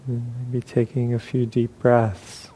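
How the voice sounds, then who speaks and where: Slow, mellow man guiding meditation. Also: medium pitch, monotone, breathy edge, poor audio. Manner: unhurried, with long pauses. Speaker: meditation teacher. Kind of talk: guided meditation talk.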